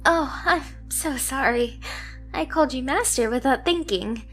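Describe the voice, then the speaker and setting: A woman's voice: sits high, expressive, a little slow, a bit bright, full character voice. A woman voicing a submissive, obsessively devoted stray cat girl in an anime ASMR roleplay, with a girlish, eager, self-deprecating manner. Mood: meek, pleading. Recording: music behind the voice. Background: music.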